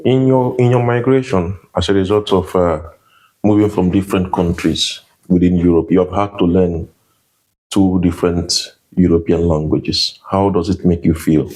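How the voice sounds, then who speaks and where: A male host interviews a guest, with a low, deep voice and a husky edge. He asks questions and keeps encouraging her with quick affirmations. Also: steady tone, a little mellow, rough recording.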